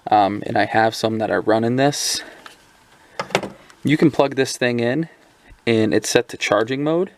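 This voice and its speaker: A man's voice: medium pitch, a little hiss or hum. A man giving a casual hands-on review of a handheld radio, talking loosely with lots of ums and restarts. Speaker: product reviewer.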